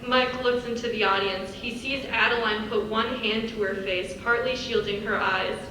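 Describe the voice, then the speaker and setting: A woman's voice: a little high, steady tone, normal speed, bright, forceful tone. A young woman reading stage directions as scripted narration for a live dramatic reading. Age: young adult. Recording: distant echoey hall, faint noise.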